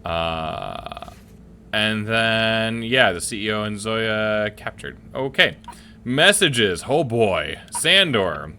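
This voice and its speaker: A man's voice: on the low side, very animated, takes it slowly, sharp tone, slight background noise. A man hosting a gaming video, commenting casually and muttering reactions to himself as he plays. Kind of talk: casual let's play commentary, reading game text aloud.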